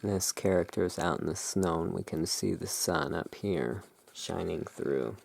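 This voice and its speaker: A person giving a relaxed, musing ASMR commentary as a tarot reviewer, talking in an unhurried, unscripted way. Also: sits low, gravelly. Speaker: tarot reviewer in a roleplay as emporium owner.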